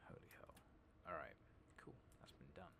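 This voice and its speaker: A man doing soft-spoken ASMR game commentary, murmuring casually to himself, swearing mildly and slipping in and out of whispers. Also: normal speed. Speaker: gaming streamer. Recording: clearly noisy background with clicking.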